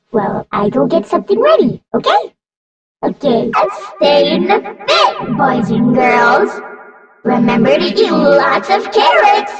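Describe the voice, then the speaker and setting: A woman voicing an energetic little animatronic bunny girl, a cutesy, singsong cartoon daycare host with a menacing side. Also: high voice, full character voice. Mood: sweet, nurturing. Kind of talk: character voice acting demo lines. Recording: echoey hall, distant from the mic.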